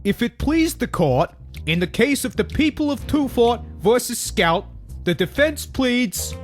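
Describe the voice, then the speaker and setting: Cartoon dub: young wise guy, voiced by a man, high-pitched, cocky griping, slangy delivery. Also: big pitch swings, a little slow, faint music behind, full character voice. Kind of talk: comic dub voice acting.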